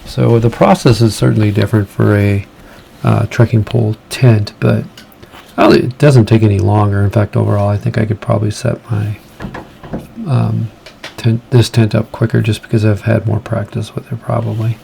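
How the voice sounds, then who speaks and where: A man casually talking through his camping gear outdoors in strong wind, relaxed and rambling, chuckling now and then, recorded on a camera mic. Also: lowish voice, moderate pace, gravelly. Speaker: outdoor vlogger. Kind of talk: casual gear-testing vlog. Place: outdoors in a windy backyard.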